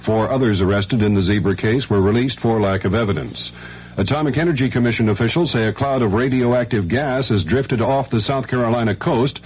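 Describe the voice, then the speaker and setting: A man's voice: medium pitch, brisk, slightly rough. An American man anchoring a scripted hourly radio news bulletin. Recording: dull, faintly noisy 1970s radio broadcast.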